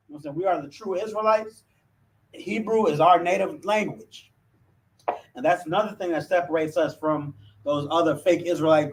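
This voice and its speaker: High-pitched American man teaching, distant mic. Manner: emphatic, with frequent "you know what I'm saying". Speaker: religious group officer teaching a Hebrew class. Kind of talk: informal religious language lesson to camera.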